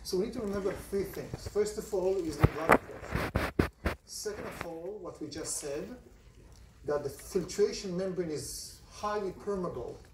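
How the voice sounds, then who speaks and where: Israeli-accented lecturer in an echoey hall. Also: male voice, high-pitched, moderate pace, heavy background noise. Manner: conversational, poses questions to the class. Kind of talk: university lecture. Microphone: phone recording from the audience seats. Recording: distant, roomy lecture hall recording.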